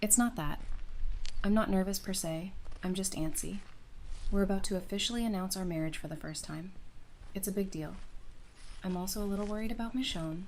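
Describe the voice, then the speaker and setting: A woman playing a warm, antsy young newlywed in an audio drama, talking casually and affectionately. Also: mid-range voice, fairly quick, a little hiss or hum, close to an everyday voice, low-quality recording. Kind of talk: fan audio drama acting.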